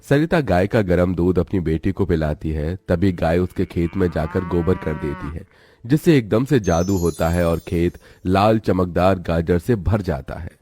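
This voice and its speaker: A man's voice: low voice, expressive, a little slow, mellow, faint music behind. A man reading scripted storybook narration for a children's cartoon.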